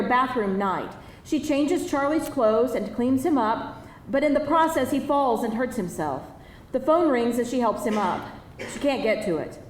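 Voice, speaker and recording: A woman with a fairly high voice narrates a screenplay at a live reading. She delivers scripted stage directions. She sounds distant from the microphone in an echoey room. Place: indoor live table read before an audience. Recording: distant mic, echoey room, faint noise.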